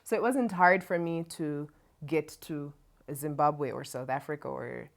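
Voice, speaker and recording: Jamaican woman, co-host, relaxed chatty delivery, lower voice. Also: unhurried, creaky. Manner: chatty, laughs easily. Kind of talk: casual chat.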